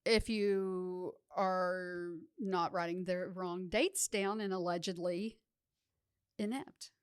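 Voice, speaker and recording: A woman's voice: lowish voice, fairly even intonation, takes it slowly, smooth. A woman co-hosting a casual true-crime podcast, blunt and wry, laying out case details and tacking on "allegedly". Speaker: podcast co-host presenting case research.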